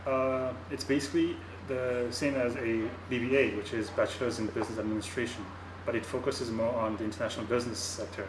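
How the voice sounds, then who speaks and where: A man's voice: mid-range voice, fairly even intonation, fast talker, few pauses. A young student from Bhutan describes his program, hesitant and full of fillers. He is heard from a distance in an echoing room. A noticeable room hum runs behind him. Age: young adult. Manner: hesitant, many fillers and "you know". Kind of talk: casual chat. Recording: echoey room, far from the mic.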